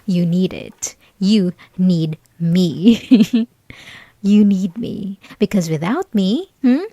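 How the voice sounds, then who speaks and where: Possessive young female bully for ASMR roleplay, played by a woman: unhurried, mellow, condescending, sweetly menacing, close and dry. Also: medium pitch, expressive, no room sound. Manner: taunting, condescending, teasing, with breathy whispered asides. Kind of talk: ASMR roleplay, intimate scripted monologue to listener.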